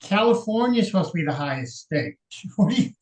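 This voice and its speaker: A man from Texas co-hosting a sewing livestream over a video call, chiming in with quick jokes. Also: high voice, big pitch swings, a little mellow, poor audio. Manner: quick joking interjections. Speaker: livestream co-host. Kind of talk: casual livestream chat.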